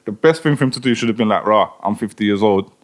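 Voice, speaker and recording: A man co-hosting a podcast, telling a story in casual chat full of slang. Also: lowish voice. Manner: fast, animated, slangy storytelling. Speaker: podcast co-host.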